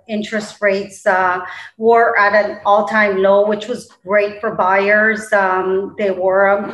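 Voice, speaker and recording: A woman's voice: mid-range voice, fairly bright tone, echoey room, a little hiss or hum, rough recording. A middle-aged woman from Colombia, answering interview questions as a guest real estate agent, with many fillers and restarts.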